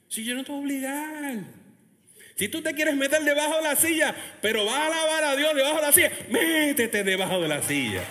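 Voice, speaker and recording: Animated male pastor, high-pitched and expressive, preaching in a big echoing hall. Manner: animated preaching with laughs and acted quotes of churchgoers. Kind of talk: lively sermon. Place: church hall, Texas. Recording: long hall reverb.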